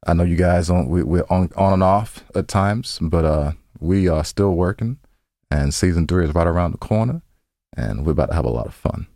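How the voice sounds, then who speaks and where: A man's voice: low voice, expressive, normal speed, mellow, no room sound, clean studio sound. A man co-hosting a podcast in casual banter, rambling informally and often repeating his phrases. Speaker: podcast co-host. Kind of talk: casual banter between co-hosts.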